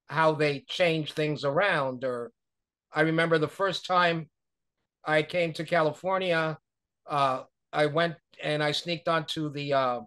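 A man's voice: on the high side, a bit bright. An older man from New York, a guest talking loosely over a video call, with many fillers and restarts. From New York, USA. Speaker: guest, author and publicist. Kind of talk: conversational interview. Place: small room at home. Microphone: video call webcam.

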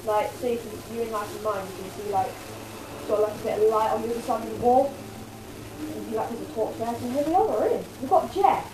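A woman's voice: fairly high pitch, fast talker, noisy background. A young woman giving casual, rambling commentary as she plays a video game at home. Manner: rambling, filler-heavy chatter. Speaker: let's play host. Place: home room, filmed from a TV screen. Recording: echoey room with game music.

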